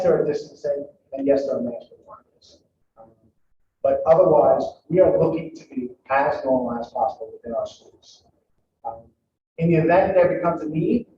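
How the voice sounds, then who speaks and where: A man's voice: a little high, noisy background. A man, a school district leader, giving a public presentation to families in a conversational way, with many ums and restarts. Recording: echoey hall, far from mic, dull.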